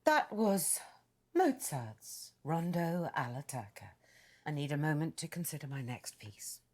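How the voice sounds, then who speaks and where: Film role: imperious, self-important middle-aged mother, theatrical and demanding, very animated pitch. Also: unhurried, heavily acted. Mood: pompous, strained. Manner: pompous, grand, demanding. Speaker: actor in an interactive murder mystery film.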